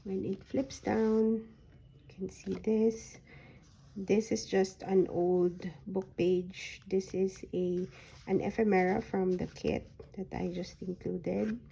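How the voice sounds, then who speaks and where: A woman with a hoarse voice that is recovering from a sore throat, showing a handmade journal folio in a soft, unhurried way with frequent ums. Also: medium pitch, fairly even intonation, low-quality recording. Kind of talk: slow, casual craft flip-through.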